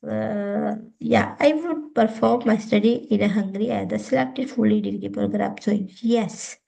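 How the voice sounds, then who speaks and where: Halting Pakistani woman giving a tutorial. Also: medium pitch, creaky, warm tone. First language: Urdu. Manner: halting, repetitive, often says okay. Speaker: tutorial host. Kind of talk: informal step-by-step screen tutorial.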